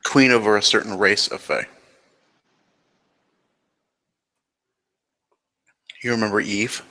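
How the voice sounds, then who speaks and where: Man acting out a calm guarded Fae, clipped answers, lowish bright voice, tabletop roleplay. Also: fairly quick. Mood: terse, guarded. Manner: clipped, matter-of-fact answers.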